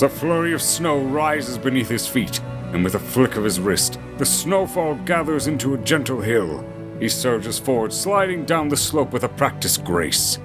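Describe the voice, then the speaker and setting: A man reading scripted fantasy story narration as the narrator of an audio drama. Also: mid-range voice.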